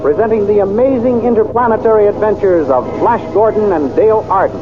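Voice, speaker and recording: A man narrating an old radio adventure serial and reading its sponsor copy in a dramatic, brisk announcing manner, on a muffled old radio transcription. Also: high voice, over loud music.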